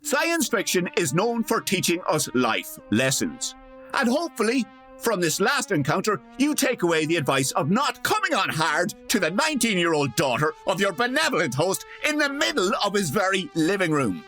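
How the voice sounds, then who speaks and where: A droll radio announcer, played by a man, narrating with polished, arch wit. The voice is fairly high and lively, over faint music. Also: normal speed, heavily acted. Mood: wry. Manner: arch, tongue-in-cheek, polished. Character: droll, wry male old-time radio announcer-narrator. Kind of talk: scripted radio-play narration.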